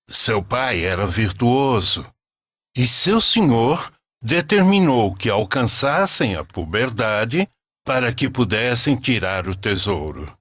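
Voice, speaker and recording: A man narrating a scripted religious story in a solemn, measured storytelling manner. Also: medium pitch, fairly bright tone, dry recording, clean studio sound.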